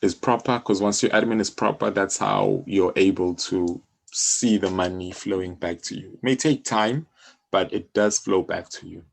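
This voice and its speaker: A South African man explaining things conversationally as an interview guest, often halting and repeating his phrases. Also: low voice, gravelly. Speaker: interview guest, music publisher.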